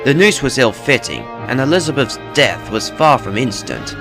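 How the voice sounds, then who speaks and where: Male narrator, dramatic scripted storytelling, grave and measured, mid-pitched, varied melody. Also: very animated, loud music behind the voice.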